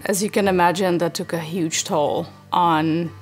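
A woman's voice: fairly low pitch, fairly even intonation, unhurried, faint music behind. A woman in her forties from the Czech Republic, speaking earnestly and reflectively in an interview from a living room sofa. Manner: earnest and reflective, gesturing as she talks. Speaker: parent interviewee. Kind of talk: interview testimonial.

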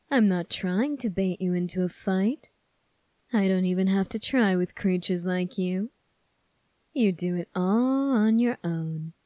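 A woman playing a smug, scornful male demon prince in an ASMR roleplay, speaking in a condescending, deliberate way. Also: medium pitch, warm tone, no echo.

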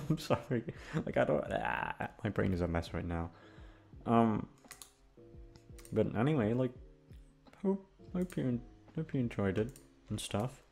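Low, creaky-voiced man rambling casually. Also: a little mellow. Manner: aimless, self-deprecating, heavy casual swearing. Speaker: voice actor and channel host. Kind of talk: casual rambling outro chat.

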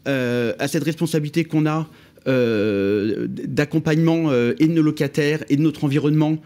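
A man's voice: a little high, normal speed, warm tone. A middle-aged man speaking on a radio panel in a studio, with many restarts and repeated words as he talks about his housing work. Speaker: housing project manager. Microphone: studio mic on stand.

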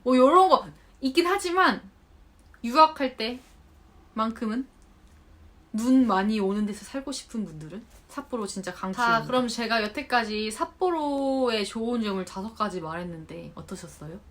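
A Korean woman in her thirties talking casually to camera, chatty and animated, acting out remarks she quotes. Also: a little high, moderate pace. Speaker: vlogger. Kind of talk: unscripted talk to camera. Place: home in Japan.

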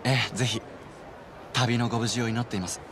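A man's voice: medium pitch, fairly even intonation, a little fast, noisy background, close to an everyday voice. A man voicing a modest, sincere young man with polite speech in a video game. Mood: sincere, grateful. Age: young adult. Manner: polite, soft-mannered speech. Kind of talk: Japanese video game voice acting.

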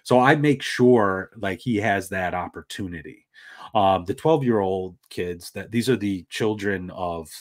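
Deep-voiced man rambling on a video call. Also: measured pace. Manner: rambling, many fillers like "like" and "um". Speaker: panel guest. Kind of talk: casual panel talk.

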